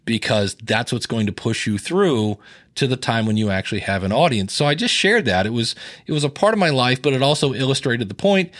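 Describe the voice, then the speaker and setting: Guy on a podcast rambling through stories, does little voices when quoting folks, dry close sound. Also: no echo. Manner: storytelling, animated with rising pitch in quoted lines. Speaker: podcast guest. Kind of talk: casual interview talk.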